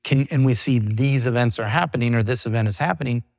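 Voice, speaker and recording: A man's voice: mid-range voice, quick pace, gravelly, a little mellow, no room sound, studio quality. A middle-aged man, a company CEO, talking through his business in a casual interview. He is chatty, leans on "you know" fillers, and acts out what his clients say. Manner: quotes clients in an acted voice.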